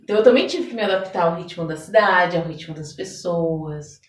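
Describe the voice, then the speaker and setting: A woman's voice: fairly low pitch, expressive, brisk. A Brazilian woman with a São Paulo accent, speaking reflectively as a podcast guest and repeating words for emphasis in a conversational interview. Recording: roomy echo, distant microphone.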